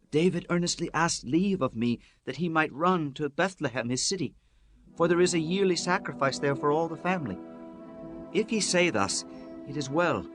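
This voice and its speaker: Casting: male actor for loyal young biblical hero, higher voice, earnest and pleading, gentle music beneath. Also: lightly acted. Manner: earnest, pleading, archaic diction. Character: earnest young men of ancient Israel, loyal friends. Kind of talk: dramatized scripture acting.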